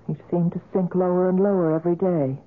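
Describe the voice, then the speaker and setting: An actress playing a kind, concerned gallery keeper in a 1970s radio drama, polite, earnest and gentle. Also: female voice, lowish voice, flat delivery, fast, close to an everyday voice. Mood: wistful narrating. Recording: thin lo-fi old radio broadcast.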